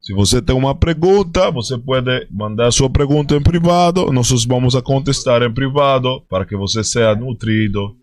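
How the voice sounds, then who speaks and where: A man with a Spanish accent leading a live stream, greeting viewers by name and speaking halting, self-correcting Portuguese mixed with Spanish words, turning fiery and exhorting when preaching. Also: mid-range voice, no echo. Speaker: religious group leader answering viewers.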